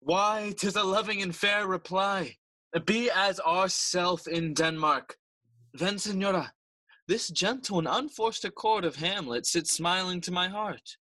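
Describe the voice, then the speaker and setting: An actor playing a regal, smooth-talking middle-aged king in formal, oratorical Shakespearean audio drama. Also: high-pitched, measured pace, bright, forceful tone, no room sound, heavily acted. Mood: pleased, stately. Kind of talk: bilingual Shakespearean audio play acting.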